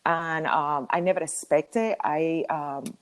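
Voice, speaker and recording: Low-voiced woman with a Cuban accent. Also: slow. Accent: Cuban Spanish. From Cuba. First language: Spanish. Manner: lively storytelling, frequent ums and laughs. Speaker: podcast guest, hair colorist. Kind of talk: casual interview talk.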